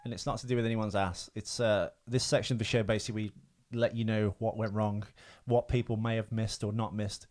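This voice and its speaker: A man's voice: on the low side, little pitch movement, a little fast, darkish tone. A man co-hosting a podcast with casual banter and film trivia, talking in a rambling, hesitant way and often correcting himself. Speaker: podcast co-host.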